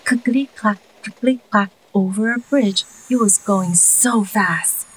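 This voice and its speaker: A woman reading a children's story aloud expressively, with sing-song, drawn-out emphasis. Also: mid-range voice, a little hiss or hum, rough recording.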